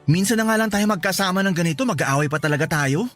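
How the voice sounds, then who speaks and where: An evasive young womanizer in a radio drama, high-voiced for a man and quick to talk. He sounds defensive and aggrieved as he makes excuses, with faint music behind. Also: animated, fairly bright tone. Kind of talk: Tagalog radio drama acting.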